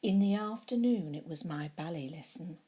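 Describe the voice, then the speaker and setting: A woman reading a children's story expressively and theatrically, sometimes dropping to hushed tones. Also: fairly low pitch, moderate pace. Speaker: storybook reader.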